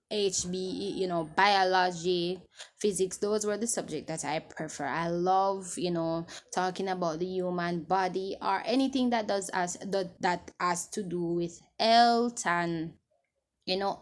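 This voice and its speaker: A woman in her twenties chatting casually to camera as she answers viewer questions, rambling and restarting as she loses her train of thought. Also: mid-range voice. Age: 20s.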